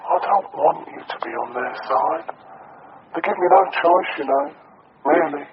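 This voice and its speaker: Swooping, creaky-voiced taunting killer. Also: male voice, sits high, huge pitch swings, normal speed, exaggerated voice. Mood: pleading menace. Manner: mocking, theatrical. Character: taunting male killer, wounded and self-justifying. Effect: heard over a station public-address system. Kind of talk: radio crime drama acting. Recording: noisy, dull radio drama recording.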